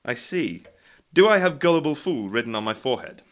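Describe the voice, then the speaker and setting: Man playing an imperious, lively-voiced college master. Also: medium pitch, fairly quick, natural voice. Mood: exasperated. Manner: imperious, exasperated, dry. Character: greedy, scornful, hard-drinking English college master. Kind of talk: comic radio play acting.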